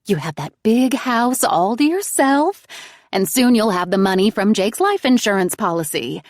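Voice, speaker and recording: A crooning, wheedling middle-aged mother who twists guilt into affection, as a woman narrator puts her on. She sits higher and louder than the plain narration, leaning hard on each plea. The audiobook recording is dry and close. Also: lively intonation, moderate pace, dry recording, natural voice.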